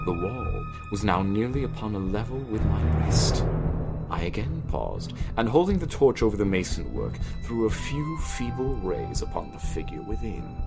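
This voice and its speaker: A man giving a theatrical, emphatic literary narration of a Gothic horror story, with deliberate pauses. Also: deep voice. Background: music.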